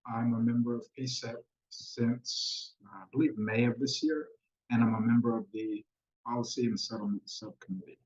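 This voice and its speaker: A man's voice: medium pitch, little pitch movement, normal speed, a little mellow, slightly rough. A man, a committee member, politely introduces himself over dull, lo-fi video call audio. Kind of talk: self-introduction at a meeting.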